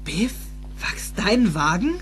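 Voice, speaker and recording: An actor playing a bewildered, excitable teenage boy in a film audio drama, hesitant and incredulous. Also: high-pitched, slow pace, exaggerated voice. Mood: stunned. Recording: loud music under dialogue.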